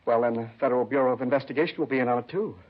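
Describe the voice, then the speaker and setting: A man's voice: mid-range voice, rapid-fire, lightly acted. An actor playing a fussy, nervous, sarcastic bank manager in a 1950s radio drama, fretful and peevish as he talks. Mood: nervous complaining. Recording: dull 1950s radio recording.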